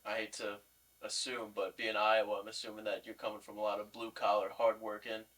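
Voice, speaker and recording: A man co-hosting a casual sports interview podcast, asking wordy, halting questions, recorded at a distance from the mic. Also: lowish voice, level tone, a bit bright.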